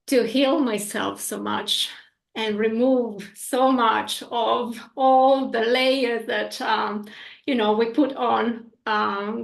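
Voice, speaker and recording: Gravelly-voiced Italian woman, laughing a lot. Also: medium pitch. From Italy. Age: 40s. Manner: animated, laughs often, many fillers. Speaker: guest, hypnosis practitioner. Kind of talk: casual interview chat.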